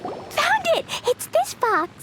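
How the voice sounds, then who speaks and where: A woman voicing an innocent, excitable little girl knight in an anime-style video game, with a naive, childlike manner. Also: extremely high pitch, takes it slowly, full character voice. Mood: excited, urgent. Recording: game music behind the voice.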